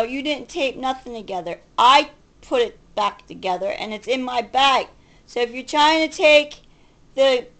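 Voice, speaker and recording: High-pitched agitated middle-aged woman. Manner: agitated, combative, sarcastic, profane. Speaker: vlogger. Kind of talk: confrontational ranting to camera, casual. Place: home kitchen, indoors. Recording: noisy home webcam recording.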